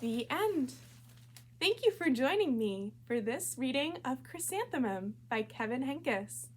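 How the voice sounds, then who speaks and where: A woman reads a picture book aloud for children. Her voice is on the high side and highly animated, with careful, expressive phrasing. There is faint noise in the recording. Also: unhurried. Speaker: library storytime reader.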